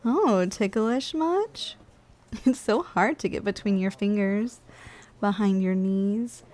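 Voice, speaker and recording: A woman's voice: mid-range voice, slow, a little mellow, close to an everyday voice. Woman doing a playful giantess office worker for asmr rp, teasing n bossy, kinda lazy, intimate, talks down to you. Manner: teasing, coaxing, casually condescending. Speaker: ASMR roleplay performer. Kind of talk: intimate ASMR roleplay, addressing the listener directly.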